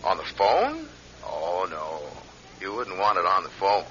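Sharp, low-voiced taunting blackmailer. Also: male voice, huge pitch swings, slow pace, exaggerated voice. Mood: taunting, sly. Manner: taunting, knowing, needling. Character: menacing male blackmailer, taunting, falsely friendly on telephone. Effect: telephone voice. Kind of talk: radio drama acting. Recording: dull old radio recording, faint noise.

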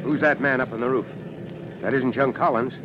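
An actor playing a demanding fire chief, clipped and authoritative, in an old radio drama. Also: male voice, medium pitch, fairly even intonation, brisk, close to an everyday voice. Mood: stern, impatient. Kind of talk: old-time radio acting. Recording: very noisy, muffled vintage radio recording.

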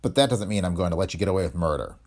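A man voicing a gruff, shrewd police chief in a hardboiled detective story, blunt and intimidating with grudging amusement. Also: low-pitched, lively intonation, racing, a little mellow. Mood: grudging, warning. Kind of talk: hardboiled detective audiobook dialogue.

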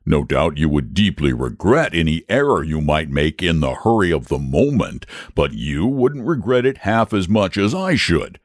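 A man's voice: low-pitched, very animated, moderate pace, hardly any pauses, no room sound, clean studio sound. A man voicing a big, genteel, old-fashioned storybook dragon with a drawling, testy, fussily polite manner. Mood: testy, anxious. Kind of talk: storybook character voice.

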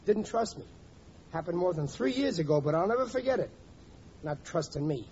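An actor playing a grumbling, stingy working-class husband of about forty, with blustery slangy talk, in old-time radio comedy. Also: male voice, sits high, lively intonation, brisk, a little mellow. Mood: indignant. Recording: dull, noisy vintage radio recording.

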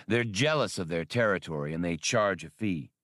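A male narrator plays a wily, genial old man in an adventure audiobook. He talks in an easygoing, conciliatory way, with varied pitch in a dry recording. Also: lively intonation, normal speed, a bit bright, dry recording. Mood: practical.

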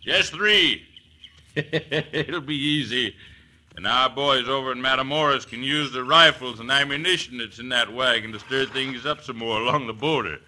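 An actor playing a ruthless, scheming American outlaw boss in an old-time radio western, talking in rough, folksy frontier style and barking orders. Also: male voice, medium pitch, normal speed, bright, forceful tone. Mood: gloating, scheming.

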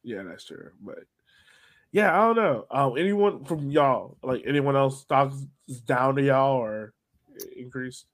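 A man's voice: medium pitch, animated, measured pace. A man hosting a podcast, chatting casually about sports and putting questions to his co-hosts. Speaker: podcast host.

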